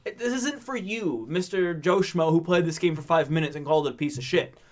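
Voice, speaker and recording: Casting: male podcast co-host, high voice, chatty and animated, quick to laugh. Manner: laughs often, animated, restarts words. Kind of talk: casual chat.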